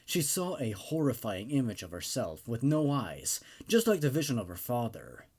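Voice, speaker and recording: Guy reading creepy stories, super animated pitch swings, theatrical host type, mid-range voice. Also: normal speed. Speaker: host and narrator of a horror story reading show. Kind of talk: dramatic story narration with casual asides.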